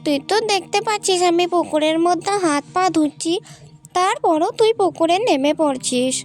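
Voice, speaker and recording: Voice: extremely high pitch, moderate pace, faint music behind. A performer voicing a quarrelsome, bossy village girl in a cartoon, scolding and emphatic. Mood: scolding, haughty. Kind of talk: cartoon voice acting, dramatic dialogue.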